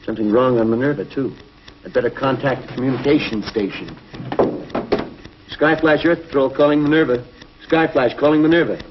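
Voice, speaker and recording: Man acting as space patrol hero, decisive, clipped, businesslike, 1950s TV adventure, medium pitch, muffled old film sound. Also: a little fast, noisy background, close to an everyday voice. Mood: urgent.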